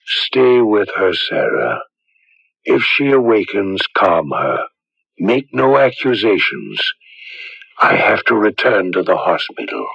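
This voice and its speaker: Gravelly, solemn priest. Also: male voice, lowish voice, very animated, no echo, heavily acted. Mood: grave, commanding. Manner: grave, deliberate, commanding. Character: solemn, commanding priest, an exorcist. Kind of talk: radio drama acting. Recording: dull lo-fi old radio recording.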